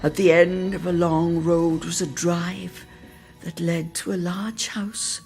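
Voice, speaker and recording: A woman giving an expressive, theatrical dramatic reading of a literary story for radio. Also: on the low side, takes it slowly, vocal fry, faint music behind. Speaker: dramatic reader.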